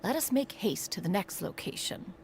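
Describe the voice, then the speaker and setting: A woman voicing a composed, formal, wise woman in a video game, speaking in stately, measured, lofty phrases. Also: mid-range voice, expressive, background noise, natural voice. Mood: composed, instructive.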